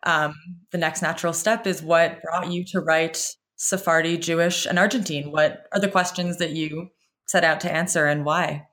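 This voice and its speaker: A woman hosting a podcast interview, giving measured introductions and questions. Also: sits low, fairly even intonation, fairly bright tone. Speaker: podcast host.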